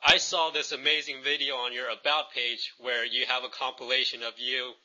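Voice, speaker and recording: A man with a very bright, forceful voice hosts an interview. He asks his questions in an easygoing, chatty way. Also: medium pitch, level tone, moderate pace, poor audio. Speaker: interviewer, host. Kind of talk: friendly interview.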